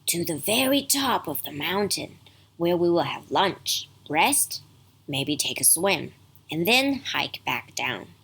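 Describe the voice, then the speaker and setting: A patient old grandfather from a bedtime story, played by a woman, talks slowly in a lowered, husky voice. He is kind and soft-spoken, teaching gently. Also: very animated, exaggerated voice, poor audio. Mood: informative.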